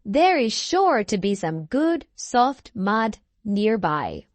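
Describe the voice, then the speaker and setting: Small storybook pig, earnest and plaintive, slow and lilting; female reader acting it out. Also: medium pitch, sing-song, slight background noise. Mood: hopeful. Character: small pig, earnest little mud lover. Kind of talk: read-aloud children's storybook.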